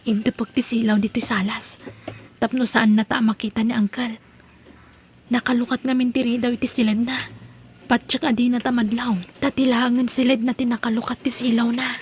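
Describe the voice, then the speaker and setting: High-pitched, earnest young Ilocano woman played by an actress. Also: little pitch movement, a little fast, slight background noise, lightly acted. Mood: hushed, urgent. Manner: earnest, emotive. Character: Edna, devoted young woman, protective, anguished over her uncle. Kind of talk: Ilocano radio-style melodrama acting. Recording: music under the voice.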